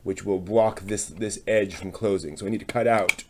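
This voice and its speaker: A man's voice: on the low side, moderate pace, noisy background. A man talking casually through a hands-on demonstration on a live stream, tentative and thinking aloud, often trailing off. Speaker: host of a live craft stream.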